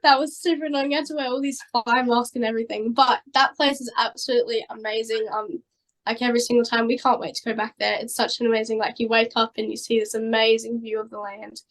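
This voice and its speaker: High-voiced young woman, chatty. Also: level tone, poor audio. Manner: chatty, many fillers, quick bursts. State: slightly hoarse voice. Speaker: singer-songwriter guest. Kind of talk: casual interview chat.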